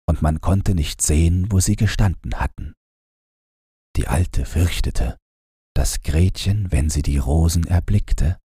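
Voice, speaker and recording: A man reads a bedtime fairy tale in a very deep voice with a heavily husky grain. His delivery is slow and calm, like an audiobook made for falling asleep, and the tone is mellow. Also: no echo, clean studio sound. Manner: calm, unhurried storytelling. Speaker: audiobook narrator.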